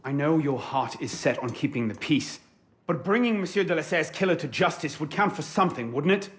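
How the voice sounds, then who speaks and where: A man's voice: fairly high pitch, lively intonation, brisk, breathy edge. A man playing an earnest, impatient young assassin bent on revenge in a video game. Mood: questioning. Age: young adult. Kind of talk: dramatic video game dialogue.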